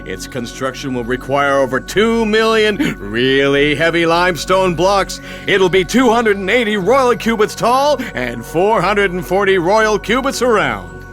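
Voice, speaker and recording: A glib, squeamish alien shapeshifter, played by a man, talks in a high, very animated voice. He is chatty and fussy in the style of comic sci-fi audio theatre, with prominent music behind him. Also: a little slow, few pauses, heavily acted. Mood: eager salesman. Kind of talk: comedic audio theatre acting.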